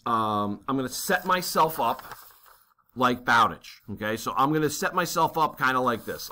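A man works through a navigation calculation as a lesson, talking over his own writing. His voice is bright and a bit tense. He thinks aloud, with many fillers and quick "okay?" checks. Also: mid-range voice. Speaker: navigation instructor. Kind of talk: worked-problem math lesson.

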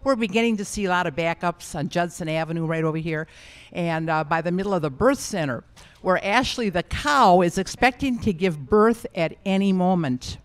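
A woman's voice: lowish voice, animated, normal speed. An older woman at a fair news booth, giving a playful mock traffic and weather report into a handheld mic, chatty and ad-libbing. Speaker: visitor playing a traffic reporter.

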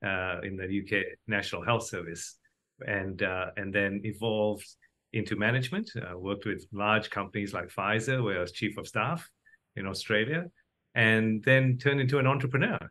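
A man's voice: lowish voice, normal speed, bright, forceful tone. A middle-aged man from Malaysia giving reflective, conversational answers as an interview guest. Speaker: podcast guest, doctor turned entrepreneur.

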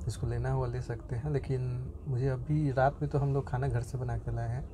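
A young man films his train journey on a phone and keeps up a loose, rambling commentary full of fillers and restarts. His voice sits in a middle pitch. Clear station and carriage noise runs under his voice. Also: flat delivery, fairly quick. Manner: chatty, thinking aloud with frequent fillers and restarts. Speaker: vlogger. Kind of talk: casual travel vlog commentary. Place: inside a train compartment at a station, by the window. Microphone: phone camera held near the window. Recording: noisy phone recording in a train. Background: station and train ambience.

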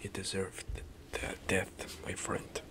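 A young man whispering ASMR commentary while playing a game, soft and hushed with sudden loud outbursts, into a desk mic in a small room. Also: slow pace, background noise. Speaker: gaming streamer. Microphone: headset and desk mic, webcam in corner.

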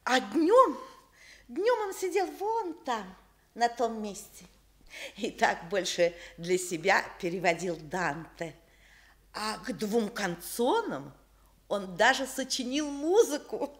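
An actress playing a kindly, chatty old professor's widow in a Soviet radio play, effusive and genteel. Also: female voice, mid-range voice, very animated, slow, a bit bright. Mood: welcoming, reminiscing. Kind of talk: Soviet radio theatre acting.

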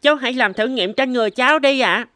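A woman's voice: high voice, fast, pushed and bright, dry recording. A woman voicing a brave, earnest and trusting eight-year-old village boy in a cartoon. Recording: faint background music.

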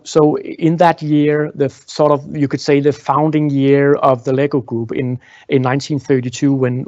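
Halting man with a creaky voice. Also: medium pitch, a little mellow, no echo, dull audio. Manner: halting, frequently repeats words while explaining. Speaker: museum tour guide. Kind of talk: informal guided tour talk, answering questions. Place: indoor museum exhibition room. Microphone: small clip-on lapel mic.

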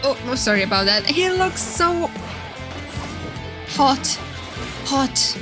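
A woman doing excited live reaction commentary as a streamer, gushing and flustered, often repeating herself. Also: sits high, takes it slowly. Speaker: VTuber streamer reacting to game trailers. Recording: game trailer music behind voice.

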